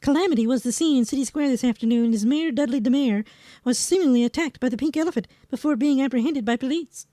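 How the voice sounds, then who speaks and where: A woman's voice: sits high, quick pace, mellow, no room sound, lightly acted. A woman playing a composed, matter-of-fact TV news anchor reading a scripted parody newscast.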